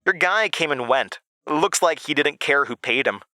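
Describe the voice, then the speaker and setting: Guy doing a smug 13 yr old bully girl, pitched up, sneery and taunting, horror audio drama, dry close sound. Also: animated, normal speed, pushed and bright, no echo. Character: smirking, gloating thirteen-year-old school bully girl.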